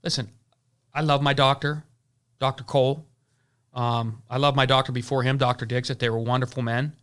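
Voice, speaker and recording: Bright-voiced man in his forties, rambling. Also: mid-range voice, little pitch movement, normal speed, smooth. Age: 40s. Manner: rambling, repeats words and restarts often. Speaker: insurance agency owner. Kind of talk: casual advice talk.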